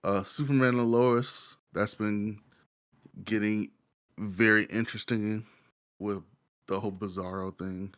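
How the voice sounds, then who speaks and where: A man's voice: on the low side, steady tone, unhurried, long pauses, a bit bright, clean tone. A man chatting casually as a podcast panelist, rambling informally about what he watches with slang and swearing. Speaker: podcast panelist reviewing shows.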